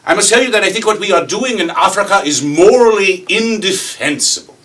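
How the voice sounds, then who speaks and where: A man's voice: on the high side, sing-song, fast talker, voice pushed up. A man voicing an indignant young British employee, sputtering moral accusations with strain in a stage monologue. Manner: sputtering, emphatic. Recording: distant mic with faint room noise.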